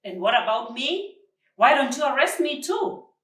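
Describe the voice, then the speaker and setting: A woman's voice: sits high, sing-song, measured pace, fairly bright tone, pitched above the performer's own voice, full character voice. A woman reading the part of an indignant, protective uncle in a children's storybook, with emphatic protest. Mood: challenging. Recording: roomy, distant microphone.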